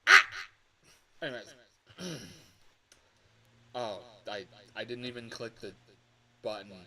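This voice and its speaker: A man's voice: mid-range voice, expressive, slow pace, noisy background. A man livestreaming in a casual, rambling way, sounding exasperated and self-mocking, and swearing freely. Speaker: livestreamer recording voice lines.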